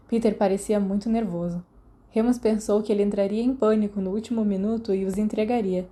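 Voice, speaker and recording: A woman's voice: mid-range voice, mellow. A woman with a Brazilian accent reading a story aloud as a podfic narrator. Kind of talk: scripted audiobook-style narration.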